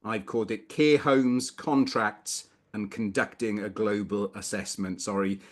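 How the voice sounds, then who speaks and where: A man's voice: lowish voice, steady tone, unhurried. A middle-aged man from Wales giving a conversational legal lecture over a video call, informal with bursts of emphasis. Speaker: barrister presenting a legal webinar. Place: small room indoors, video call.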